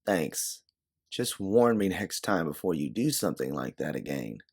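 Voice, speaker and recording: A man's voice: low-pitched, very animated, normal speed, gravelly, a little mellow, slightly rough, full character voice. A man voicing a hurt, weary fugitive woman in an audio storybook, terse and guarded. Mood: curt, guarded.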